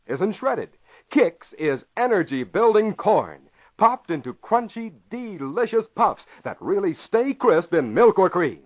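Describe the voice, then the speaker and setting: High, animated vintage American radio announcer man. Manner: emphatic, dramatic delivery. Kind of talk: 1940s radio announcing and scripted narration. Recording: muffled vintage radio recording.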